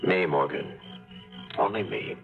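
An actor playing a hard-bitten adventurer, narrating in a clipped, dry, sardonic way in a 1950s radio drama. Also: male voice, sits low, a little slow, gravelly, phone-quality sound. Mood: laconic. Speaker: narrator and lead. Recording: scratchy phone-thin 1950s radio broadcast with music.

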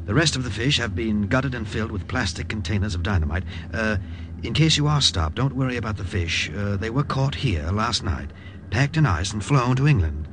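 A man plays a formal naval officer in a vintage radio drama. He gives instructions in a measured, lowish voice. The old recording is clearly noisy. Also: animated, natural voice. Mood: businesslike, calm. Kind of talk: 1950s radio drama acting.

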